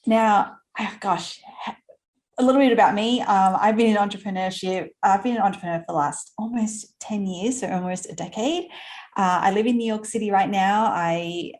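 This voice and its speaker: A woman's voice: mid-range voice, moderate pace, darkish tone. A woman in her thirties from Australia with an Australian accent, chatting as an interview guest, laughing often and using plenty of fillers and restarts. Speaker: guest entrepreneur. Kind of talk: casual conversational interview.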